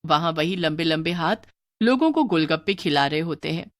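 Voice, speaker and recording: A woman narrating a scripted horror story in a steady storybook manner. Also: low voice, moderate pace, dry recording, clean studio sound.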